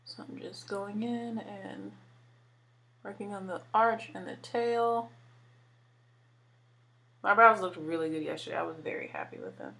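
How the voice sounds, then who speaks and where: A woman in her twenties with a deeper voice, chatting casually while doing her makeup and using lots of filler words. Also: sing-song, measured pace, gravelly, long pauses, bright, forceful tone. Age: 20s. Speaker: beauty vlogger. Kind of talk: casual makeup tutorial chat.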